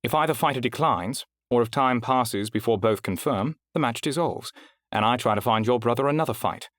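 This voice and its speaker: A man voicing a polished female fight registrar with a smooth accent, asking brisk formal questions. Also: medium pitch, fairly bright tone, dry recording, clean studio sound, close to an everyday voice. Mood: explaining.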